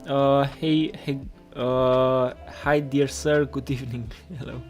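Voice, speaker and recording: A man from Romania hosting a casual live coding tutorial, halting and repeating his words as he goes. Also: mid-range voice, steady tone. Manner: halting, repeats words while reading chat. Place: indoors at a computer desk. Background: music playing, keyboard typing.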